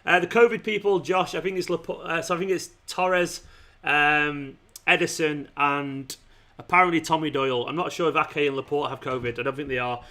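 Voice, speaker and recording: Male football stream host, bright and fairly high voice, informal and matey, slightly worn from talking. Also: fairly even intonation, moderate pace. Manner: informal matey address, often saying "man", "mate" and "pal". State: losing his voice. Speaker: fan channel livestream host. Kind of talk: football watchalong stream, casual chat with viewers.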